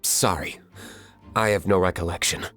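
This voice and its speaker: An aloof tavern master with a lowish voice. He is stern, dry and clipped, giving dismissive replies in game dialogue with music behind. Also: steady tone, moderate pace, a bit bright. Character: stern, curt young tavern owner, cool and aloof. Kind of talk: English video game voice acting.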